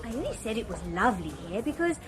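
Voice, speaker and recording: A bubbly naive girl, high voice, really sing-songy, bit of music behind. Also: big pitch swings, fast talker, poor audio. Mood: gushing. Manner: gushing and breezy. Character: bubbly, naive young woman, eager to please. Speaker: a young woman on a trip. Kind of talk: 1990s radio drama acting.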